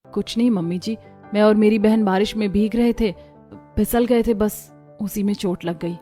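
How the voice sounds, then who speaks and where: A woman's voice: mid-range voice, fairly even intonation, moderate pace, mellow, soft background music, natural voice. A woman voicing a hurt, guarded young daughter-in-law in a family cartoon story. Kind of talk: Hindi cartoon story acting.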